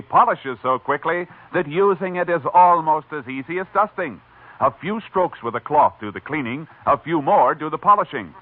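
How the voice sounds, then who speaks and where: A man reading scripted 1940s radio advertising with an emphatic, measured sales delivery. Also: a little high, no room sound. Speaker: radio commercial announcer. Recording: tinny vintage radio recording.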